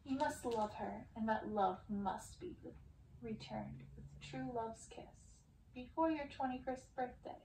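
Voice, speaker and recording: A woman voicing cruel witch sisters from a storybook, mocking and deliberate in their taunts. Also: a little high, mellow. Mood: menacing, taunting. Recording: roomy, distant, faint background noise.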